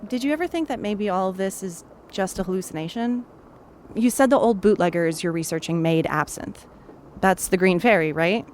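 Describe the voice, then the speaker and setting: A woman's voice: mid-range voice, moderate pace, slight background noise, natural voice. A woman playing a dry, skeptical girlfriend. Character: skeptical, teasing young woman, a colleague and partner. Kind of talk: audio drama acting.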